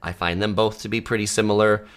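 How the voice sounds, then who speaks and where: Male travel host, low-pitched, unhurried, filler-heavy conversational delivery, live Q&A feel. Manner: relaxed, conversational, many ums and uhs. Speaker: travel channel host answering viewer questions. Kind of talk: casual live Q&A. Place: home studio.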